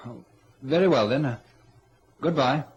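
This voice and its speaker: A man's voice: mid-range voice, measured pace, highs cut off, noisy background, lightly acted. A young man playing an anxious, obedient son cowed by his mother, hesitant and uneasy, in a radio drama. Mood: awkward, hesitant. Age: young adult. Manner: hesitant, stammering protests. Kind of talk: radio drama acting and narration.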